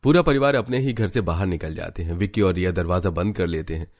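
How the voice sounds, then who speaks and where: Male cartoon story narrator over soft music. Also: deep voice, fast talker.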